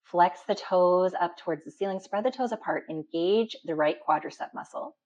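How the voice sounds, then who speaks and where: A woman instructor guiding a deep relaxation meditation in a calm, instructional manner with slow pauses. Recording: dull, muffled lo-fi sound.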